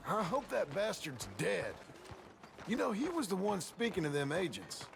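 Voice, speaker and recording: A brooding, gravelly cowboy ex-outlaw. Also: male voice, high voice, huge pitch swings, moderate pace, background noise, exaggerated voice. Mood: bitter. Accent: American. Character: weary, brooding ex-outlaw cowboy, trying to reform. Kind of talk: dramatic video game dialogue.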